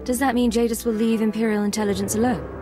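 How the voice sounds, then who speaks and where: A woman's voice: a little high, fairly even intonation, fast talker, lightly acted. A woman playing a cool, businesslike young secret agent in a video game, controlled and direct. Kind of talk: scripted video game acting. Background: music.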